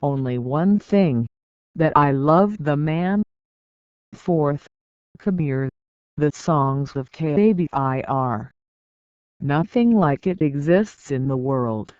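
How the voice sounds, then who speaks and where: An audiobook reader giving a scripted narration, reading in a measured, deliberate way with pauses between phrases. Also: mid-range voice, expressive, smooth, dry recording, muffled recording.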